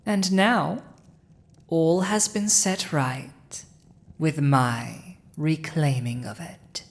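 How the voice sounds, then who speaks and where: Villainous dragon usurper, played by a woman: slightly low female voice, drawn-out delivery, haughty, gloating, possessive, for fantasy audio roleplay. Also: full character voice. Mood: possessive, proud. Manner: slow, imperious, teasing, with lingering pauses. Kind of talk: dramatic roleplay audio addressed to the listener.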